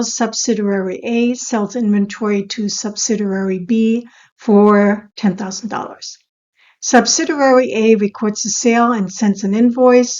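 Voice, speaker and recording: Female instructor for explainer narration: raised pitch, orderly measured teaching, somewhat dull lo-fi sound. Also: a little mellow. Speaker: accounting instructor.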